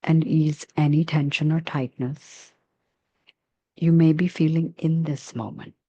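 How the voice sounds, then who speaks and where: Slow, mellow woman guiding meditation. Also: low voice, steady tone, muffled recording. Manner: soft, soothing, measured with long pauses. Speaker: yoga and meditation guide. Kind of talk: guided meditation narration.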